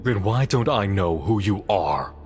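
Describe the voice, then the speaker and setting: Very deep-voiced, intense troubled man. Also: animated, unhurried, music in the background. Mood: agitated, demanding. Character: deep-voiced troubled man trapped in a story, turning defiant. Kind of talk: dramatic visual novel voice acting.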